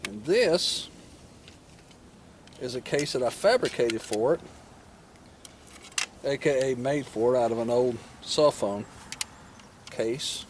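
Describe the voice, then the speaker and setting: A man with a mid-pitched voice talks through a hands-on job in a casual, plain-spoken way. He goes step by step as he works. Faint ambient and vehicle noise sits behind his voice. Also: lively intonation, slow pace, long pauses. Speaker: motorcycle vlogger demonstrating gear installation.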